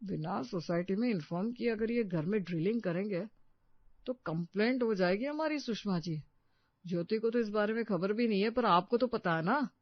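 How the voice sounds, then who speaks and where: A woman's voice: mid-range voice, moderate pace, no room sound, lightly acted. Woman doing cranky middle aged dad, always complaining, exasperated, dry a bit muffled story recording. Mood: disapproving. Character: Vinod, grumbling middle-aged family father. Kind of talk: Hindi audio story acting. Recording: slightly dull, dry studio recording.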